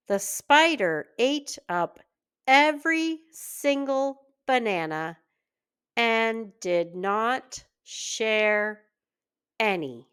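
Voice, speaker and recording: A woman reads a children's tale as a teacher would for beginning readers. Her voice is fairly high and very smooth and clear, and she phrases everything very slowly, word by word. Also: fairly bright tone. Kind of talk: slow read-aloud children's storytelling.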